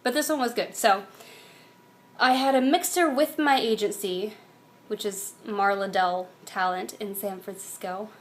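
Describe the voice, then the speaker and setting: A woman's voice: medium pitch, fairly even intonation, measured pace. A young American woman chatting informally and self-deprecatingly in a casual diary-style vlog. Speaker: vlogger; actress. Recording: clear background noise, room ambience.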